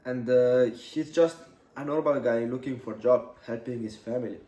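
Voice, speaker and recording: A man in his twenties from Italy, a native Italian speaker, telling a personal story in English for his vlog, halting with many pauses. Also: medium pitch, warm tone. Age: 20s. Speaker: vlogger. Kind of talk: casual storytelling to camera. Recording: echoey room, mic at a distance.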